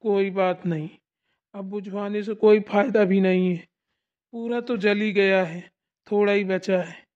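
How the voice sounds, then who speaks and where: Someone doing a chill old village dad, calm and reassuring, mid voice, dull lo-fi sound. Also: medium pitch, a little slow, natural voice. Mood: soothing. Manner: soothing, reassuring. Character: calm older village father-in-law.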